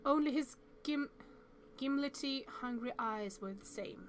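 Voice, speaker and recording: A woman with a fairly high voice hosts a visual novel playthrough. She reads the story aloud and adds casual reactions, occasionally tripping on words, with faint noise in the recording. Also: measured pace. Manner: reacts aloud, stumbles over words while reading. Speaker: host playing and reading a visual novel. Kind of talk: reading story text aloud with casual commentary.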